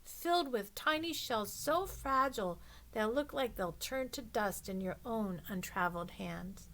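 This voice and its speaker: A woman reading a children's picture book aloud expressively, with measured, deliberate phrasing. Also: fairly high pitch, lively intonation, a bit bright, poor audio.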